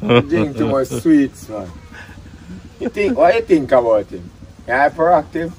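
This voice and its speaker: Man, Jamaican accent, casual teasing talk, very animated intonation, slow pace, outdoor yard. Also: on the high side, slight background noise.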